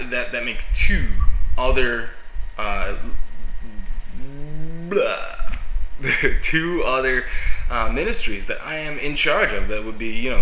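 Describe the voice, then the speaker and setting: Bright-voiced young man with a cold. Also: lowish voice, a little hiss or hum. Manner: rambling, many fillers, laughs at himself. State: stuffy from a cold, sniffling. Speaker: youth pastor. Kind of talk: casual vlog musing. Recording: echoey room.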